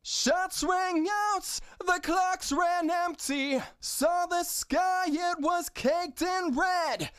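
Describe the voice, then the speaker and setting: A man's voice: very high voice, extremely slow, hardly any pauses, a bit bright, dry recording, studio quality. A man singing a rock lead vocal, enunciating sharply with pronounced sibilant S sounds. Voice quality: strong sibilance, crisply enunciated. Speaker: rock singer.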